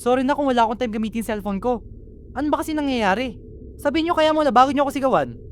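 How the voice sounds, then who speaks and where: A man's voice: extremely high pitch, expressive, very fast, slight background noise. A man with a Filipino accent playing a defensive, overworked older brother in an amateur radio drama. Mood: irritated.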